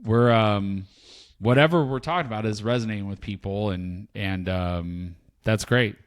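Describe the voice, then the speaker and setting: A man in his fifties with a fairly low voice talks in a reflective, unhurried way. He rambles and restarts his sentences in casual podcast chat. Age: 50s. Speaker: podcast co-host, parent.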